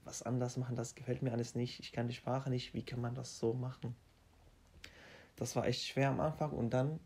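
A young man, talking in an even, middle-pitched voice. He speaks haltingly and often restarts his sentences. His phrasing stays plain and simple. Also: breathy edge. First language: Arabic. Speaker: interviewee. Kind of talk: casual interview.

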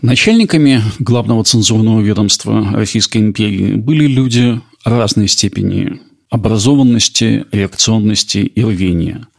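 A male podcast host delivers scripted narration in a measured, explanatory manner. His voice is lowish and creaky, with a husky edge. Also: darkish tone.

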